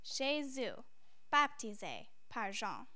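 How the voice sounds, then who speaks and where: A woman's voice: fairly high pitch, very animated, slow pace, sharp tone. A woman giving a scripted recitation in short, separate phrases.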